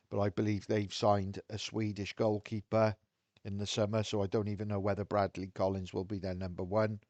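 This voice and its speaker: A man's voice: on the low side, a little mellow, no echo, dull audio. Frank Welsh man talking to camera. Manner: measured, frank, thinks aloud with restarts. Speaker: video host. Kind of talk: casual talk to camera.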